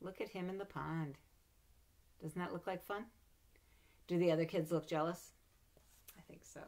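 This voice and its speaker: A middle-aged woman reads a children's picture book aloud in a lower voice, animated and playful. She adds chatty asides between the pages. The sound comes from a webcam in a room at home. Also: moderate pace. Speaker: storybook reader. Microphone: webcam at desk.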